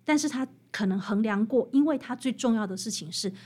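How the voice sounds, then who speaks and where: A woman with a fairly high voice and a Taiwanese Mandarin accent speaks as a guest author. She explains in an expressive storytelling way, leaning on key phrases for emphasis. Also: a little fast, husky. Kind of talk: expressive explanatory interview talk.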